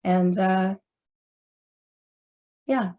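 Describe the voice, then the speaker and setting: A woman panelist who performs drag, speaking casually and candidly in a hoarse voice she says she has lost, with many fillers. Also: mid-range voice, extremely slow, dull audio.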